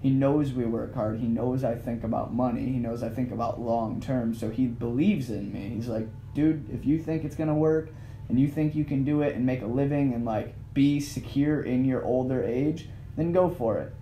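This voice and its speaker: A man's voice: mid-range voice, fairly even intonation, normal speed, mellow, muffled recording. A man talking candidly as a podcast guest, emphatic and casual, swearing now and then. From United States. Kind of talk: casual conversation. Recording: echoey room with faint noise.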